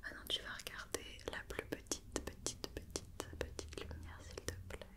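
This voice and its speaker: A woman whispering an ASMR roleplay as a doctor, giving soft, repetitive, reassuring instructions. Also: moderate pace.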